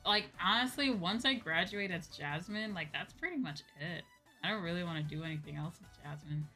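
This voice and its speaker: A woman chats casually on a livestream in a clearly bright, forward voice that sits a little low. She is animated and informal, talking straight to her viewers. Faint music plays behind her. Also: brisk. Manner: animated, informal, chatty, often seeks agreement with "right?". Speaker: VTuber streamer chatting with viewers. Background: background music.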